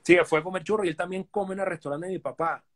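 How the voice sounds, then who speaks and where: A man's voice: high-pitched, fairly even intonation, fast talker. A Venezuelan man in his forties, talking casually with many fillers and restarts as a guest on a live video call from his living room. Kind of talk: casual live-stream interview.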